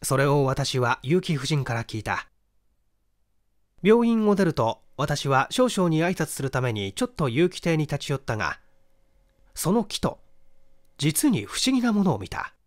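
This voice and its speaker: A man giving a measured, expressive reading of a literary detective story as narrator. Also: on the high side. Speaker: audiobook reader, stage actor.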